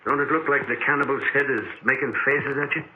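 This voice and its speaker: A man's voice: mid-range voice, fairly even intonation, quick pace, lightly acted. Guy doing a nervous mumbly bartender, folksy talk, 1940s radio drama, tinny sound w/ a bit of noise. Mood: uneasy, muttering. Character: jittery, muttering bartender spooked by shadows. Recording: noisy, narrow-band old radio recording.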